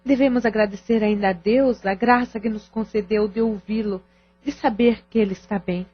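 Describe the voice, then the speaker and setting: A woman playing a grateful, pious member of a family in an audio drama, husky, earnest and formal. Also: mid-range voice, steady tone, moderate pace, no room sound, muffled recording, close to an everyday voice. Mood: grateful, relieved.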